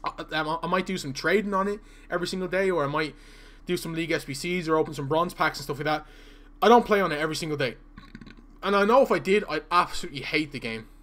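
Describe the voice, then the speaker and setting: A man's voice: fairly high pitch, fast, a bit bright. A young man with an Irish accent, chatting casually to his live-stream viewers while playing, reading out their messages and stuttering at times. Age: young adult. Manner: chatty, reads chat aloud, stutters at times. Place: small room indoors.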